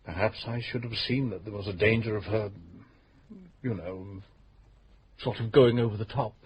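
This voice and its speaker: A man's voice: fairly low pitch, moderate pace, a crowd in the background, natural voice, poor audio. An actor playing a fussy, evasive widowed middle-aged man in a radio drama, hesitant and full of filler sounds. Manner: hesitant, full of uhs, flustered. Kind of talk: 1970s-80s radio drama acting.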